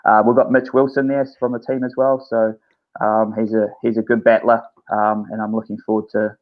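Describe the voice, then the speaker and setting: An easygoing man with a New Zealand accent, speaking low and flat, pausing often as he thinks. His voice comes through dull lo-fi video call audio. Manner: easygoing, hesitant, many fillers. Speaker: head coach. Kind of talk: remote interview.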